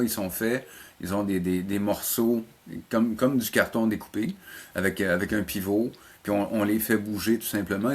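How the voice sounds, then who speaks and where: Middle-aged male teacher, Quebec French accent, lower voice, conversational interview guest who explains in halting, repeated starts. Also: husky. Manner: hesitant, repeats words while explaining. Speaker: college animation teacher and programme coordinator, interview guest.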